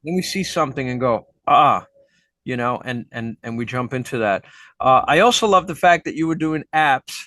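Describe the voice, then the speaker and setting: A man's voice: medium pitch, animated, moderate pace, pushed and bright, dry recording, studio quality. A middle-aged man hosting an interview, a teacher who talks in a chatty, reflective way. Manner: chatty, reflective, many fillers. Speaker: host and teacher. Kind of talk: interview questions and comments.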